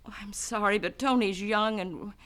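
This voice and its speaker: A woman's voice: medium pitch, moderate pace, fairly bright tone, close to an everyday voice. An actress playing a guarded, composed woman whose husband was just killed, answering with hesitant, careful words in a 1970s radio drama. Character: composed widowed wife, guarded and cool.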